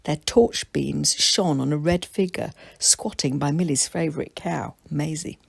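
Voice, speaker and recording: A woman's voice: deep voice, a little slow, vocal fry, breathy edge. A woman narrating a children's storybook as its author and reader.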